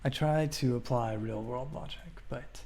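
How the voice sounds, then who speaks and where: A man giving casual livestream commentary as he plays a game, answering questions in a thoughtful, halting, reflective way. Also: medium pitch, darkish tone. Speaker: game streamer.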